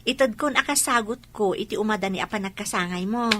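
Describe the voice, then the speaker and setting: A woman's voice: mid-range voice, fast, fairly bright tone, slight background noise, natural voice. A woman playing a caring, supportive young friend of an athlete in an Ilocano radio drama.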